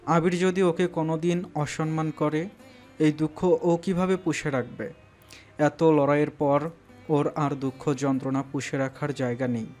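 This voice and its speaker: Guy reading out a romantic story, dramatic narration, voice a bit high, music playing behind. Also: darkish tone. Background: background music.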